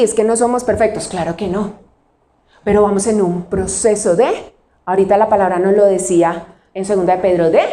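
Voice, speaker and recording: A woman pastor from Colombia with a Cali accent, preaching a sermon. She puts questions to the congregation and answers them herself, and she slows down to stress single words. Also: mid-range voice, dark tone, roomy sound, a little hiss or hum. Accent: Cali Colombian Spanish.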